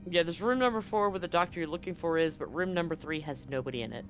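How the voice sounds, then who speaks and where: A man improvising descriptive narration as game master of a tabletop horror game, in a halting, descriptive way. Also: fairly high pitch, a bit bright, soft background music, poor audio.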